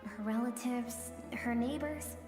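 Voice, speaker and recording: Female game narrator: solemn, wistful, measured, echoing over music. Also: on the high side. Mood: somber narration. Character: solemn female storyteller recounting a tragic past. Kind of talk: scripted dramatic game narration. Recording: echoey long reverb, music behind.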